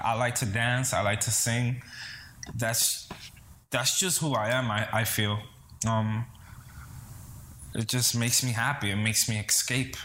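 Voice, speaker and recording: A man's voice: on the low side, sharp tone. New guy chatting in an interview, earnest, stumbles over words a bit, some noise and clicking behind him. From Bronx, New York City, early childhood in the Dominican Republic. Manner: earnest, hesitant, repeats words. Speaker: interview guest, singer-songwriter. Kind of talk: casual interview talk.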